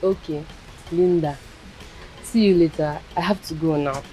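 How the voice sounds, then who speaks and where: An actress playing a caring teenage granddaughter, gentle and reassuring. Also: female voice, low-pitched, fairly even intonation, a little slow, soft background music. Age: teens. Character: devoted young granddaughter, caring student.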